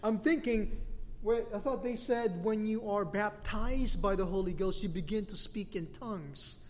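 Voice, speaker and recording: High-voiced Filipino pastor preaching. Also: male voice, normal speed. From Philippines. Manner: animated preaching with frequent laughs. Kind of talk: sermon. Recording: long hall reverb.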